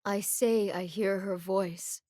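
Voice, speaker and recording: A woman voicing a calm, solemn warrior chieftain in a video game, grave and measured. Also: mid-range voice, dry recording, clean studio sound, natural voice. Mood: solemn resolve. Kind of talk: video game character voice lines.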